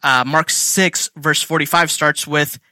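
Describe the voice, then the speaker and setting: A man with a bright, clear voice teaches Bible study in an easy, chatty way. His pitch sits a little above the middle, and he often stops to ask a question before he answers it. The sound is dry and close, with no room echo. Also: steady tone, a little slow. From India. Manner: conversational, filler-heavy, asks and answers his own questions. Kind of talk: casual teaching talk.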